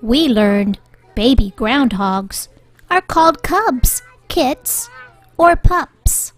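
High, lively woman reading a children's story. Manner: deliberate, rhyming sing-song delivery. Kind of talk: expressive children's read-aloud narration. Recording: music bed under the voice.

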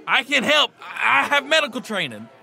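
An actor playing a loyal, earnest and courteous young soldier in an audio drama. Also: male voice, extremely high pitch, huge pitch swings, normal speed, creaky, pushed and bright, heavily acted. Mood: insistent.

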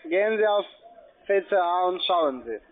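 Male phone agent, non-native German with a foreign accent, high pitch, insistent and repetitive, muffled phone line. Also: lively intonation. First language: not German. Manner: insistent, halting phrasing with repetitions. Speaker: call-centre phone agent. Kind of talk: argumentative sales phone call.

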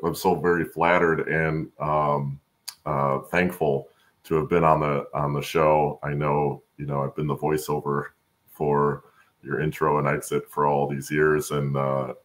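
Very deep, gravelly middle-aged man. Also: fairly bright tone. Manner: halting, earnest. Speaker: guest, supply chain expert and voice-over announcer. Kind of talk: conversational interview. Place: room at home, indoors. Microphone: video call.

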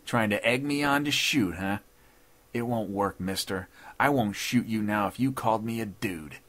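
Man acting a gruff, sneering escaped convict. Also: on the low side, normal speed, lightly acted. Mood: snarling. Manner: rough slangy tough talk.

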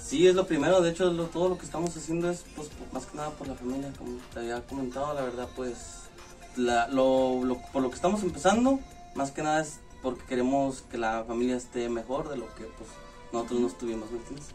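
A man's voice: medium pitch, fairly even intonation, quick pace. A man in his twenties from Puebla with a central Mexican accent, chatting casually and a bit hesitantly as a guest. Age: 20s. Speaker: interview guest. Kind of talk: casual chat. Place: small indoor lounge room in a club. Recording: distant mic, clear music behind.

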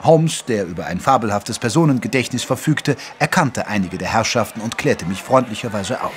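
An actor playing a genteel, good-natured Victorian doctor who narrates an audio drama in a measured, literary way. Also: male voice, lowish voice, lively intonation, close to an everyday voice. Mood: measured, narrating.

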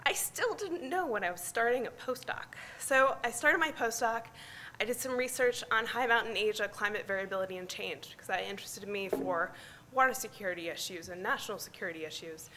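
Bright-voiced Midwestern woman giving a talk. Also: mid-range voice, fairly quick, slight background noise. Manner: candid, self-deprecating, many fillers. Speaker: conference speaker. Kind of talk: personal talk at a science meeting. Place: large conference hall.

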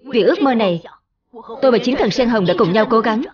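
A woman's voice: fairly high pitch, animated, fast talker, highs cut off, crowd noise, natural voice. A woman voicing an earnest, brave young boy hero in a dubbed children's cartoon, declaiming with youthful resolve. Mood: earnest, resolute. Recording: music under the dialogue. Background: dramatic music.

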